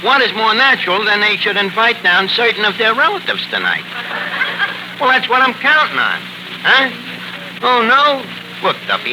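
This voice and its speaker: An actor playing a bumbling, cocky male tavern manager with a New York accent, wisecracking and mangling words in a 1940s radio comedy. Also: high-pitched. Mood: insistent. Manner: wisecracking, self-important malapropisms. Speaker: comedy show lead. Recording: noisy 1940s radio broadcast recording.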